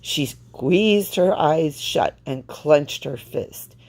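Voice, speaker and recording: A woman reading a children's storybook aloud with expressive, deliberate phrasing. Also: deep voice. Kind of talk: read-aloud storybook narration.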